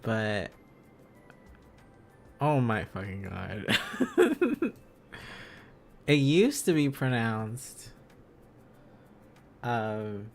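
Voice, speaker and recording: A man's voice: lowish voice, very animated, very slow, pauses often, faint music behind. A man hosting a casual livestream, thinking aloud as he studies, in a rambling, silly, exclaiming manner. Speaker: livestream host.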